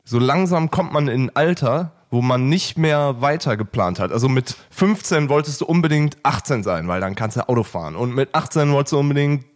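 A man in his late twenties co-hosting a casual podcast chat, joking, laughing often and filling pauses with hesitations. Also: medium pitch, lively intonation. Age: late 20s. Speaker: podcast co-host.

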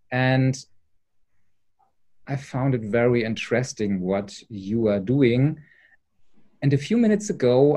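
A man hosting an interview, speaking English as a German native, in halting phrases with hesitant restarts. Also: medium pitch, darkish tone. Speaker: podcast host, interviewer. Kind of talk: interview questions.

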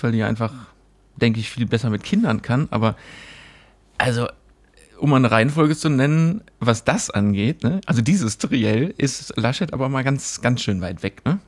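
A man co-hosting a podcast in casual chat, joking and often tagging his sentences with a questioning "ne". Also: medium pitch. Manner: chatty, joking, often ends with "ne?". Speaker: podcast co-host.

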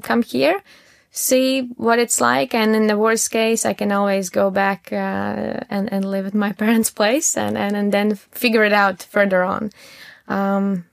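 A woman's voice: mid-range voice, vocal fry, dry recording, studio quality. A young woman with a Latvian accent answering interview questions candidly, feeling her way through phrases in a conversational style. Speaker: interview guest, startup founder.

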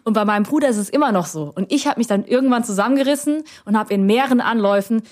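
A woman in her thirties who grew up in Germany, answering an interview question in a reflective way, pausing often with fillers and restarting her sentences. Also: fairly high pitch, animated, a little mellow. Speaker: guest.

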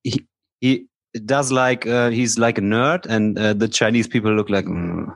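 A man's voice: fairly low pitch, steady tone, unhurried, vocal fry, dry recording. German guy cohosting a podcast, loose and giggly, dead dry mic. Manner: chatty, laughs often, mixes languages. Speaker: podcast co-host. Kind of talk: casual bilingual chat.

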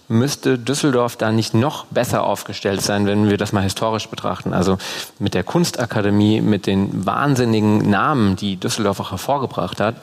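A man's voice: fairly low pitch, vocal fry. A man casually moderating a live panel as podcast host.

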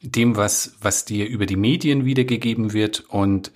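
A man from Afghanistan interviewing a guest, asking measured, probing questions and repeating words as he frames them. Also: on the low side, a little mellow.

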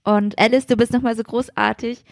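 A woman's voice: on the high side, little pitch movement, fairly quick, gravelly, dry recording, clean studio sound. A woman co-hosting a podcast in casual, colloquial talk. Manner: informal, colloquial. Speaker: podcast co-host.

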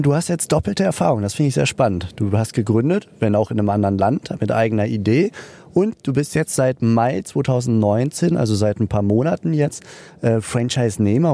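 A man's voice: mid-range voice, dark tone. A man hosting an interview, asking questions and summing up his guest's answers, sometimes searching for words. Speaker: interviewer, host.

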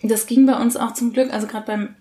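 A woman's voice: fairly high pitch, steady tone, fairly quick, dark tone. A woman in her thirties chatting casually and colloquially as a podcast co-host. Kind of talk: casual conversation about parenting.

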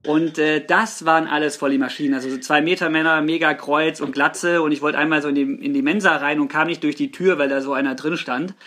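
Higher-voiced man in his late thirties. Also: fairly even intonation, a little fast, few pauses, fairly bright tone. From Swabia, southern Germany. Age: late 30s. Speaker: podcast guest, youth church worker. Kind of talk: casual chat.

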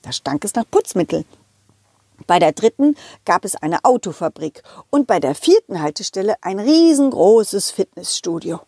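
A woman's voice: medium pitch, very animated, moderate pace, darkish tone, dry recording, clean studio sound. A woman storyteller narrating a story for children. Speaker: puppeteer, storyteller.

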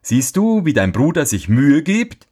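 A man's voice: fairly high pitch, big pitch swings, unhurried, a little mellow, husky, pitched above the performer's own voice, exaggerated voice. A man voicing a stern, weary father scolding his son in a storybook fairy tale. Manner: scolding, sighing.

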